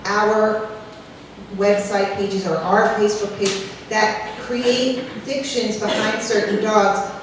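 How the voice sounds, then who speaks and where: A woman shelter official answering public comments at a board meeting, earnest and pleading, with quick restarts. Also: mid-range voice, steady tone. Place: large meeting hall. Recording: echoey hall from far off, background noise.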